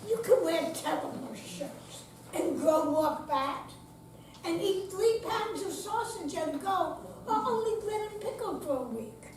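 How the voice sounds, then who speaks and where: An older woman reciting poetry aloud as an amateur reader, deliberate and stumbling slightly at times. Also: very high voice, animated. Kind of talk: poetry recital before a live audience. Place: senior center room, recorded from afar. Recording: distant, echoey hall with clear background noise.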